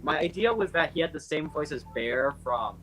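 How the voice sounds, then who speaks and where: Rambling young man, slightly high voice. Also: expressive, normal speed. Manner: rambling, full of fillers and restarts, says his natural voice is quiet. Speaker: channel owner and voice actor. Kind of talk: casual chat over a voice call.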